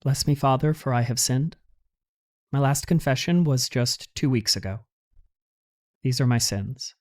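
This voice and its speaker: Guy playing a nervous student at confession, guilty and halting, middle pitch, audio drama style. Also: a little mellow, close to an everyday voice. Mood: guilty, hesitant. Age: young adult. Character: anxious, guilt-ridden university student making confession.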